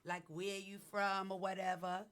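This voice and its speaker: Radio host lady in her 50s, warm and chatty, casual interview. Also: medium pitch, little pitch movement, moderate pace.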